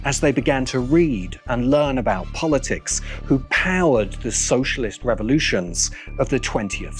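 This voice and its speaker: A man delivering scripted video essay narration in an emphatic manner, pausing deliberately for effect. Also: mid-range voice. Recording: music behind the voice. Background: music.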